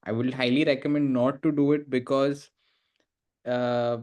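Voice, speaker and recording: A man's voice: medium pitch, level tone, a little slow, a little mellow. A man speaking as a guest in a panel discussion, giving advice hesitantly with many fillers and restarted words. English is not his first language.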